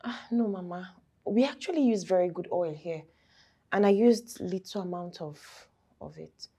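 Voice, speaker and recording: An actress playing a soft, deferential young girlfriend. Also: on the low side, moderate pace. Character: anxious young girlfriend, polite. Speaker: actor.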